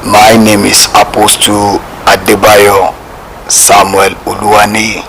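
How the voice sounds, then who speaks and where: A man with a Nigerian accent giving a personal testimony in a plain, halting way. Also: fairly low pitch, monotone, fairly bright tone, soft background music, low-quality recording. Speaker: studio guest, apostle.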